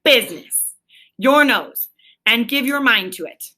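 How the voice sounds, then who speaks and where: A loyal, good-hearted storybook rat, as a woman reads him aloud. He talks like an old-fashioned chum in a bright, clear-cut voice. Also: on the high side, lively intonation, a little slow, voice pushed up, heavily acted. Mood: hearty, determined. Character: kindly, hearty male rat, loyal chummy companion. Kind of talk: children's storybook read aloud.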